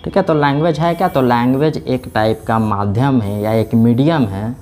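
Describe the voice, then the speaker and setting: A man's voice: medium pitch, moderate pace, warm tone, faint music behind. Male teacher explaining patiently. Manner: explaining step by step, keeps saying "theek hai"; asks questions and answers them himself. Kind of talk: classroom lecture.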